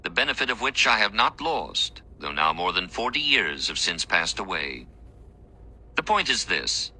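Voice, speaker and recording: A man reads a devotional memoir as a scripted narrator. His voice is very low yet extremely crisp and forceful, with lively swings in intonation. The delivery stays earnest, formal and measured. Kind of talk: scripted dramatic reading of a first-person devotional memoir.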